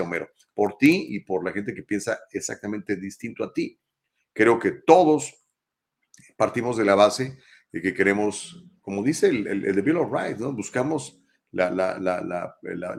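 Hombre de voz algo grave, acento mexicano. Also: male voice, on the low side, vocal fry. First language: Spanish. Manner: casual, chatty, reads comments aloud and answers them. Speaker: talk show host. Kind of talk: live call-in talk show, reading viewer comments.

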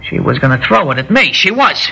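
An actor playing a jumpy young hoodlum who barks threats in tough street slang, in 1960s radio drama style. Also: male voice, on the high side, very animated, brisk, gravelly, bright, forceful tone, slightly rough, exaggerated voice. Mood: jumpy, threatening. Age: young adult. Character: jumpy young hoodlum holding a shopkeeper hostage. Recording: noisy old radio recording.

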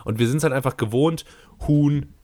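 Male podcast co-host, relaxed joking banter, dry close-miked sound. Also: medium pitch, normal speed, no room sound. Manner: joking, tosses out questions. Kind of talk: casual chat.

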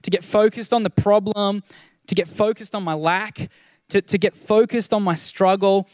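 A man with a high voice preaches to his church in an earnest, encouraging way. He often doubles his first words as a thought begins. The recording is dry and close. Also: steady tone, moderate pace, darkish tone, no echo. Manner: earnest, encouraging, repeats words when starting sentences. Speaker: pastor. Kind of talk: sermon.

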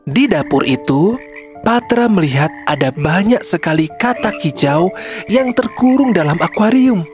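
A woman's voice: fairly low pitch, darkish tone. A woman narrator reading a scripted children's story.

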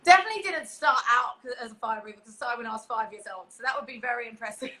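A woman's voice: on the high side, animated, rapid-fire, pushed and bright. A young woman guest chatting casually on a video call, laughing often and restarting her sentences. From England. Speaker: guest performer. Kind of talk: casual interview chat.

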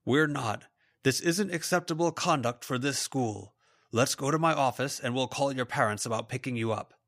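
A man's voice: mid-range voice, moderate pace, fairly bright tone, voice pushed up. A man voicing a stern, authoritative male schoolteacher with a firm, commanding manner in an audiobook.

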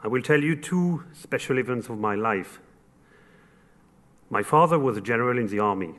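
A man from France with a French accent giving earnest, formal remarks as an event sponsor, in short measured phrases. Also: medium pitch, expressive, fairly bright tone. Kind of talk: formal closing remarks at a luncheon speech.

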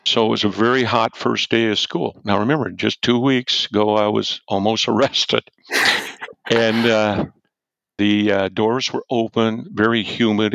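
An older man, a former football coach, telling a story loudly and animatedly as an interview guest and chuckling as he goes. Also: lowish voice, gravelly, no room sound. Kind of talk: storytelling in a podcast-style interview. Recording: dull, dry lo-fi audio.

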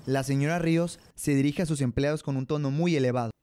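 A man's voice: a little high, fairly even intonation, fast talker, noisy background. A young man with a Mexican accent presenting and narrating a scripted radio drama. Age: young adult.

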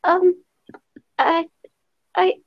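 A tiny, very high-pitched timid girl, voiced by a woman in an improvised audio drama. She stammers shyly and speaks slowly, easily flustered. The microphone sounds dull and lo-fi. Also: steady tone, creaky, no room sound, exaggerated voice. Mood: flustered, stammering. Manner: stammering, hesitant, halting. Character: shy, flustered, timid young female newcomer named Clover.

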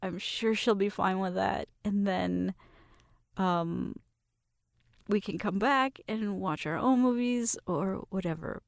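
A woman's voice: medium pitch, slow, gravelly, no room sound, studio quality. A woman playing a shy, smitten young roommate in an intimate roleplay, nervous and stammering as she talks to the listener. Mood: bashful, nervous. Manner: nervous, stammering, giggly, self-interrupting.

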